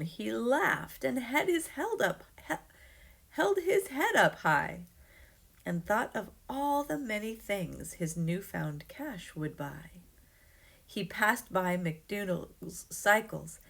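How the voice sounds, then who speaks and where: Woman doing storytime, lots of fry in her voice, unhurried, reads it pretty dramatically. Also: mid-range voice, expressive, fairly bright tone. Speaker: storyteller reading aloud. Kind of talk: expressive read-aloud of a rhyming children's story.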